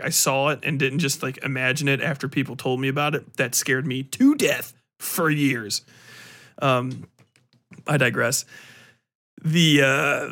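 A man hosting a podcast, giving a casual, ranting review full of swearing and sarcastic, animated retelling. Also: a little high. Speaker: podcast host.

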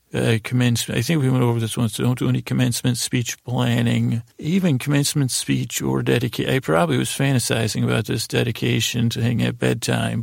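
A man hosting a sleep podcast with a rambling, halting bedtime monologue in a gravelly, creaky voice, often trailing off mid-sentence. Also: mid-range voice, no echo, clean studio sound. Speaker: podcast host.

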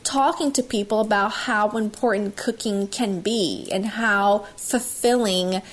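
A woman in her thirties from Italy, telling her story as a guest in an interview, loud, outspoken and enthusiastic. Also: medium pitch, measured pace. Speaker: guest, cooking video host.

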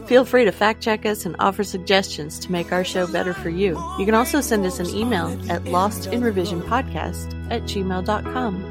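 A woman hosting a podcast, reading a scripted intro and outro. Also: medium pitch. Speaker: podcast host. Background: music.

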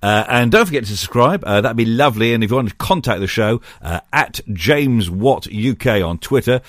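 A man hosting a podcast, asking casual, halting questions and reading out show details. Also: medium pitch, expressive, no room sound, studio quality. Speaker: podcast host. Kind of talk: interviewing, show announcements.

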